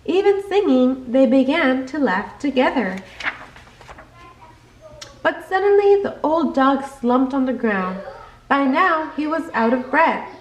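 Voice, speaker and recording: A woman narrates a children's bedtime story expressively. She reads very slowly in a fairly high voice, and the room around her echoes clearly. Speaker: storybook reader.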